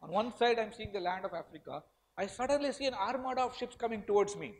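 A wide-eyed old sea captain tells of his voyage with a nervous, high voice, as an Indian lecturer quotes him. The tone is anxious and full of wonder. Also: expressive, fast, low-quality recording. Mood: awed. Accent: Indian English.

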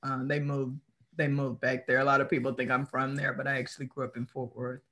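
A woman's voice: very deep voice, level tone, fairly quick, a bit bright. A middle-aged woman from Texas, a guest author, chatting in an easygoing way and laughing often, heard over a webcam from a room at home. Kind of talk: casual interview chat. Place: home room with framed pictures on the wall. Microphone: video call webcam.

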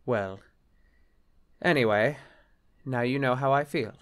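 A man's voice: mid-range voice, slow pace, smooth, natural voice. A man playing a stiff, anxious bishop in an audio drama, formal and hesitant in his speech. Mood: exasperated. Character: stiff, exasperated, anxious male bishop and husband. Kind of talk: film-adaptation audio drama acting.

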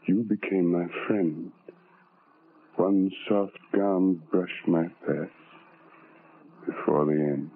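A man's voice: low-pitched, fairly even intonation, takes it slowly, pauses often, slight background noise. An actor playing a tormented, bitter, self-loathing man in a theatrical, poetic old-time radio drama, on a tinny recording. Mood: mournful, resigned.

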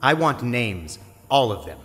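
A man's voice: mid-range voice, very animated, measured pace, a bit bright. An actor playing a stern, demanding lawman questioning a prisoner in an audio drama. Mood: stern, probing. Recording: long hall reverb, faint music.

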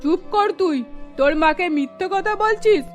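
A woman's voice: extremely high pitch, moderate pace, soft background music. A woman voicing a strict, worried middle-aged mother who admonishes and nags in a cartoon. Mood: scolding. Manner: admonishing, nagging. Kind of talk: Bengali cartoon voice acting.

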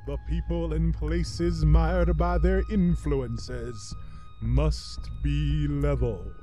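A man playing a solemn, merciless angel of judgment in a measured audio drama style. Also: fairly high pitch, steady tone, mellow, faint music behind. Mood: grave.

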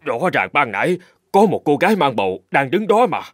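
An actor playing a stout, curious, easily alarmed middle-aged husband in a cartoon, exclaiming and muttering. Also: male voice, a little high, very animated, fairly quick, no echo, studio quality. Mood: surprised, puzzled. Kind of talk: animated cartoon voice acting.

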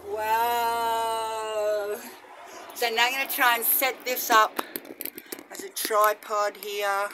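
Slow, high-voiced middle-aged woman outdoors. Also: flat delivery, pushed and bright. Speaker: vlogger, spiritual practitioner. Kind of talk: casual spoken vlog, chatting to camera. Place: outdoors in bush and a shallow rock cave. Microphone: phone on selfie stick with attached microphone. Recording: noisy outdoor phone recording, traffic ambience.

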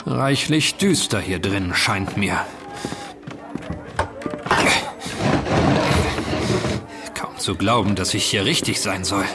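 A man playing an unruffled, dryly witty gentleman adventurer in a German audio drama. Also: fairly low pitch, animated, measured pace. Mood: cool, curious. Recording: music behind the voice.

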